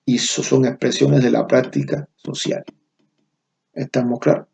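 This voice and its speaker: A man's voice: mid-range voice, level tone, a little slow, creaky, a little mellow. A middle-aged man from Cuba with a Cuban Spanish accent, giving an online university lecture, stressing key terms and checking in with students.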